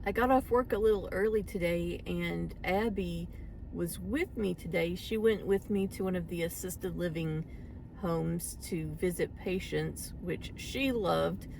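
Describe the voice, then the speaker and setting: A woman's voice: lowish voice, measured pace, gravelly, background noise. A woman talking casually to her vlog audience, telling personal stories in an everyday conversational way. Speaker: solo vlogger.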